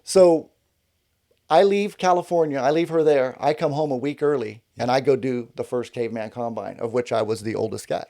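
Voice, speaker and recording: Folksy fifties man with mellow voice. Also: medium pitch, moderate pace. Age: 50s. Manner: folksy, animated storyteller who acts out quotes. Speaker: guest. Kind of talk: casual storytelling interview.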